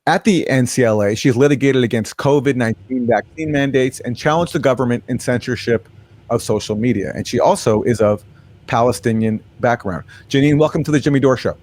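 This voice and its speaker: A man's voice: mid-range voice, a little fast, a little mellow. A man hosting a political talk show, introducing a guest and asking pointed questions in a conversational way. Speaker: show host. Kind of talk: political commentary interview.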